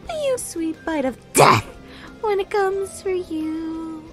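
Eager, squeaky-high vicious dog creature. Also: female voice, lively intonation, slow, fairly bright tone, soft background music, exaggerated voice. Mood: mocking. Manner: childishly eager, mocking. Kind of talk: horror audio drama acting.

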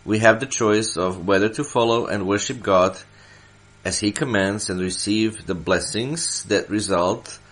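A man from Serbia, a church elder, delivering Bible teaching from prepared notes in a deliberate way, stressing his phrases. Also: on the low side, rough recording.

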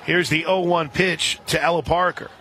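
A man doing live radio play-by-play of softball in a conversational way that can burst into excited calls. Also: fairly high pitch, moderate pace, very noisy. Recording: crowd and music behind broadcast. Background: stadium crowd, music.

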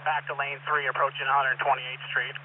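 A man working as a state trooper, giving terse, halting pursuit reports over police radio. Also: medium pitch, level tone. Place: moving patrol car. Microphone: police radio microphone. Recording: noisy narrowband police scanner radio.

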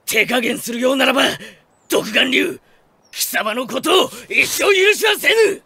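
An actor playing a fiery, earnest, honour-bound young samurai in an audio drama, declaiming formal archaic warrior speech. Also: male voice, very high voice, very animated, measured pace, gravelly, bright, forceful tone, slightly rough, no echo, full character voice. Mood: defiant. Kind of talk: Japanese anime-game audio drama acting.

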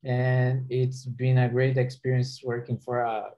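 A man from Brazil, a software engineer introducing himself on a video call panel in a measured, halting way. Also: medium pitch, monotone, lo-fi sound. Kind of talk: casual panel chat.

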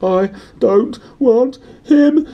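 Storybook brat: spoilt young boy, high wailing whine, fake tears. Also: lively intonation, extremely slow, lo-fi sound, exaggerated voice. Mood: fake sobbing. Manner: wailing, whining.

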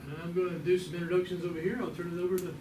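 A middle-aged man, an extension agent, teaching a hands-on class in a relaxed, folksy way and asking the group questions. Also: high-pitched, rapid-fire, background noise. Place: small classroom with hard walls. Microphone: far camera. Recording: echoey room from a distant camera.

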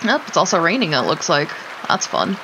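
A woman's voice: on the low side, huge pitch swings, a little fast, crowd noise, noisy background. A woman casually commenting on her life-simulation gameplay, chatting to her sims and laughing often.

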